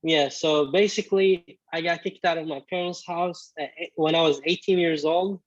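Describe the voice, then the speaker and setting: Young guy originally from iraq, arabic his first language, bit high voice, lots of restarts, dry dull call audio. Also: fairly even intonation, no echo. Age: 20s. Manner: halting, many restarts and fillers. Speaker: guest. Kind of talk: casual video-call interview.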